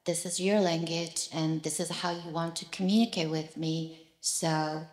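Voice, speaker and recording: A woman's voice: low voice, steady tone, a little slow, a little mellow, roomy sound. A woman with a Korean accent, a native Korean speaker, asking her teacher a question, hesitant and searching for words. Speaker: retreat participant asking a question.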